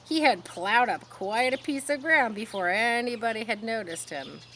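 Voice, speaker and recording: A woman reading a children's story aloud expressively, pausing to explain words in simple asides. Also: a little high, lively intonation, a bit bright, a little hiss or hum. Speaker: storybook reader.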